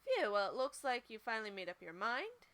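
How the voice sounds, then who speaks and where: A woman's voice: on the high side, big pitch swings, fairly quick, fairly bright tone. A woman voicing a cheeky young male thief in a game, with a playful delivery. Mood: cocky.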